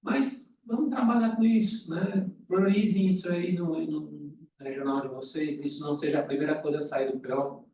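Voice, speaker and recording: Higher-voiced Brazilian man, insistent, very echoey. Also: moderate pace, gravelly, hardly any pauses, mellow. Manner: insistent, emphatic repetition. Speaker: meeting speaker. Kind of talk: exhortation to colleagues at a meeting. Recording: echoey room, distant mic, faint noise.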